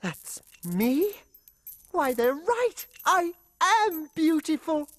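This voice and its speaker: A vain, dreamy young fir tree in a cartoon fairy tale, high and swooping in pitch. It gushes theatrically and naively about itself, at an unhurried pace, over background music. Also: female voice, very animated, no echo, exaggerated voice. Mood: excited vanity. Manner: gushing, self-absorbed, theatrical. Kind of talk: animated fairy tale acting. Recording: music behind the voice.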